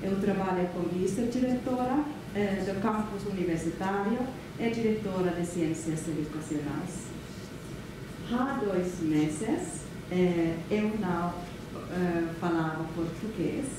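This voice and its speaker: A middle-aged woman from Finland with a Finnish accent, giving a relaxed, chatty conference lecture with frequent fillers. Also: lowish voice, normal speed. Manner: relaxed, chatty, laughs often, many fillers. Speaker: guest lecturer. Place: large lecture hall. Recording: echoey hall, far from the mic, room noise.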